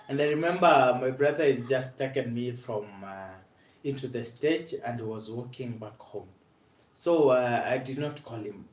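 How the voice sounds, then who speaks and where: A man's voice: medium pitch, a little mellow. Earnest Kenyan man in an echoey room. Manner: earnest, repeats "basically" and "uh", frequent restarts. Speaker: vlogger with multiple sclerosis. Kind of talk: personal awareness vlog, storytelling.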